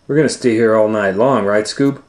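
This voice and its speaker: A man's voice: fairly low pitch, normal speed, darkish tone, voice pushed up, close to an everyday voice. A man voicing a young, laid-back but cowardly cartoon slacker with casual, slangy, hesitant talk. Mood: nervous.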